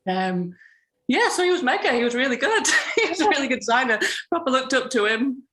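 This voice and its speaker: A woman, telling stories in a relaxed, rambling way as a podcast guest. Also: high-pitched, a little fast. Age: 30s. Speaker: podcast guest, grower and florist. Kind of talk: casual interview chat.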